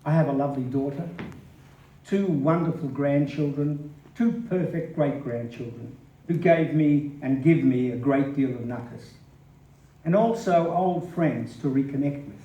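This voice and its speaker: A man's voice: on the high side, a little slow, warm tone, muffled recording, close to an everyday voice. A man giving a reflective dramatic reading of an older person's memoir at a live indoor event. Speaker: stage reader. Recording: echoey hall, distant mic, faint hiss.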